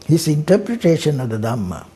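An elderly man, speaking haltingly in an indoor documentary interview, repeating words. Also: fairly high pitch, sing-song, warm tone, breathy edge. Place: indoors in a living room.

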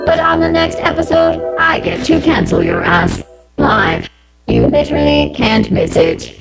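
A menacing female robotic enforcer in an audio drama. She is stoic and grandiose, with a bottomless, creaking pitch that swoops sharply under deadpan lines. Music plays behind her. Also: measured pace, exaggerated voice. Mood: cold, triumphant.

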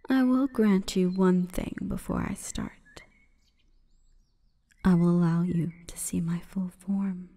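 A woman voicing an imperious, calm forest goddess in a breathy, whispering ASMR roleplay, with stately, measured delivery. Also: fairly low pitch, exaggerated voice. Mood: cold, commanding. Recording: long reverberant echo.

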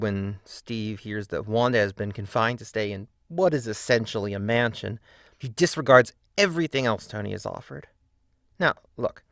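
A man's voice: mid-range voice, vocal fry, a bit bright, no room sound. Man narrating a video essay, very dry sound. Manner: opinionated, emphatic, wry. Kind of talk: scripted video-essay narration with casual asides.

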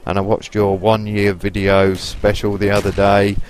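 A man in his late forties with a Lancashire accent and a fairly low voice talks in a rambling, cheeky way, as if to regular viewers. Faint game gunfire rattles behind him. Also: completely flat delivery, moderate pace, slight background noise. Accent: Lancashire English. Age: 40s. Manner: chatty, rambling and cheeky, with mild swearing. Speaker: gaming YouTuber. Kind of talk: casual gameplay commentary.

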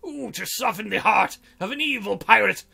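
A man voicing a gruff, wounded pirate captain from a visual novel, with arrs and old sailor talk. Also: high voice, moderate pace, sharp tone, exaggerated voice. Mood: bitter, resigned. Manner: growled pirate "arr" and "ye". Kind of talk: visual novel role reading.

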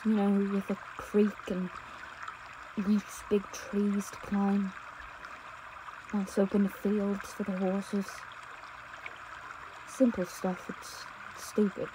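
A woman playing a devoted, anxious young pastor's daughter on the run in an intimate audio drama, speaking tenderly and emotively with halting pauses. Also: medium pitch, background noise, close to an everyday voice. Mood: subdued, wistful. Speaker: audio roleplay performer. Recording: nature ambience with trickling stream water. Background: outdoor ambience sound effects, running water.

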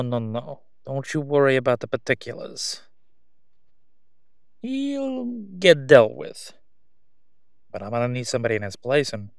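A man's voice: mid-range voice, smooth, no room sound, studio quality. Man acting out a sleazy, menacing crime boss. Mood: dismissive, scheming. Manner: oily, taunting, drawn-out delivery with stalling pauses. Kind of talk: solo audio roleplay acting.

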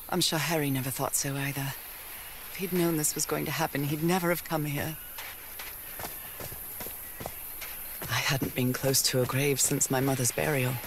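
An actress playing a curious, devoted wife in an adventure game, thinking aloud reflectively with old-fashioned slang. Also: female voice, very deep voice, gravelly, noisy background. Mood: somber, reflective. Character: curious, devoted wife searching for her missing husband.

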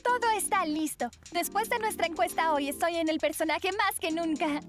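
A woman's voice: very high voice, moderate pace, faint music behind. A woman voicing a dramatic, easily indignant teenage schoolgirl in a dubbed cartoon, with theatrical exclamations. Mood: eager, theatrical. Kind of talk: cartoon dubbing.

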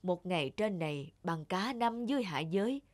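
A man's voice: sits high, expressive, normal speed. A man voicing a pompous old Jade Emperor in a folk-tale cartoon, imperious and declamatory. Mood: solemn, remorseful. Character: pompous old male Jade Emperor, stern but remorseful. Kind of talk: animated folk-tale dialogue. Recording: loud music underneath.

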